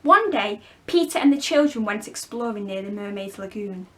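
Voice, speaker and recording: A woman storyteller reading a children's story expressively, with clear phrasing for young listeners. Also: mid-range voice, expressive, normal speed, a bit bright. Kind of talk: expressive scripted storybook narration.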